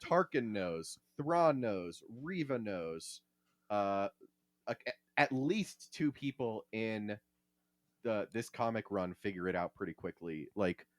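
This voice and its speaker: A man's voice: fairly low pitch, animated, takes it slowly. A man hosting a podcast, giving casual, opinionated takes on fiction in an emphatic, salty-tongued way. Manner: emphatic, profane. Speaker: podcast host.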